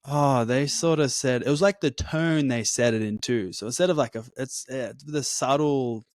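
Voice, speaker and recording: A man's voice: medium pitch, moderate pace, no echo, clean studio sound. A man from Melbourne whose Australian accent is blending toward American, a podcast guest talking casually and loosely, full of "like" and "yeah". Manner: relaxed, slangy, says "like" and "yeah" often.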